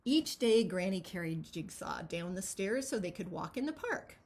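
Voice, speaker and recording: A woman reading a picture book aloud as its author, with expressive, dramatic storytelling. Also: on the low side, moderate pace. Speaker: children's author reading their own book.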